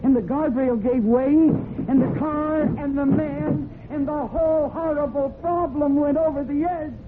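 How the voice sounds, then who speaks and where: A woman's voice: sits high, steady tone, a little slow. Intense, guilt-ridden woman, noisy narrow-band radio. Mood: grim, tense. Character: guilt-ridden, desperate woman covering up a death. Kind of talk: dramatic radio mystery acting.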